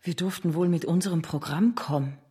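Dark, husky, steadfast woman revolutionary. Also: fairly low pitch, fairly even intonation, fairly quick. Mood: firm, insistent. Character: steadfast female socialist theorist, cool, unyielding. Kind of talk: German radio drama acting.

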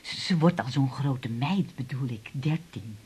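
A husky, fussy, hesitant mother. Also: a bass voice, animated, measured pace, a little mellow, full character voice. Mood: fretful. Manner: hesitant, stammering, chatty. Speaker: patient's mother. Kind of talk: 1980s radio drama acting.

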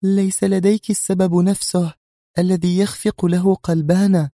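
A man voicing a quiet, sly young woman agent in an audio drama, with a murmuring, knowing delivery. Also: high-pitched, little pitch movement, normal speed, dry recording, studio quality, voice pushed up. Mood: quietly tense.

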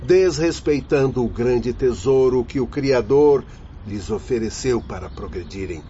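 A man acting in a radio drama as a grave doctor spirit with a Brazilian accent, solemn and measured as he condemns human vice. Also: mid-range voice, mellow, close to an everyday voice. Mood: reproachful, lamenting. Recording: muffled lo-fi audio with faint noise.

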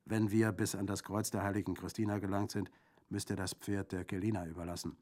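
A man's voice: deep voice, level tone, a little fast, darkish tone, natural voice. A man voicing a boisterous Corsican bandit in an audiobook, jovial and exclamatory, with a gleefully mocking, gruesome humour. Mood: urging, wry. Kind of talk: audiobook character acting.

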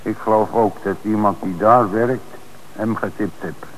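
A man plays an eager detective in an old radio play, with a lowish voice and a chatty, enthusiastic delivery. Faint hiss sits behind him. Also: fairly even intonation, a little slow, lightly acted, poor audio. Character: eager male police detective. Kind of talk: radio drama acting.

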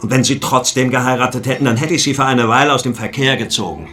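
An actor playing a shrewd, ailing police inspector in a radio drama, sardonically polite, knowing and insinuating. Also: male voice, medium pitch, fairly even intonation, quick pace, a bit bright, natural voice. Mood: grave, insinuating. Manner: courteous, knowing, wry, insinuating.

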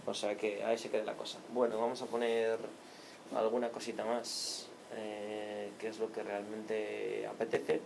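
A man hosts a small radio show in an easygoing, halting way, pausing on fillers as he talks. His voice has a gravelly, creaky texture. A faint hiss sits under the recording. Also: lowish voice, completely flat delivery. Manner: hesitant, many fillers and restarts. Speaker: radio host. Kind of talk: casual radio chat.

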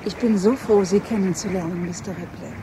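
An actress playing a gracious, wealthy middle-aged American mother in a German radio play, composed and polite, with a soft, husky voice. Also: medium pitch, brisk, background noise, natural voice. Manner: polite, composed society hostess. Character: gracious wealthy American mother welcoming her son home.

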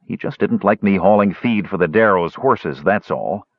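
A man's voice: low-pitched, animated, moderate pace, no echo, muffled recording. A man voicing a stubborn young dwarf nephew in a fantasy audiobook, sullen, blunt and defensive. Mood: regretful. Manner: belligerent, blunt, informal.

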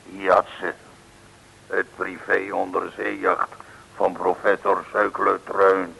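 An actor playing a gruff, burly sailor who is surly, curt and deadpan in a vintage comic radio serial. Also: male voice, deep voice, slow pace, heavily acted, low-quality recording. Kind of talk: 1960s Dutch comic radio serial acting. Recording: hissy old radio recording.

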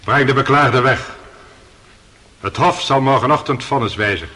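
An actor playing a stern presiding judge in a radio drama, formal and commanding. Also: male voice, medium pitch, moderate pace, pushed and bright, natural voice. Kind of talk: Dutch radio drama acting.

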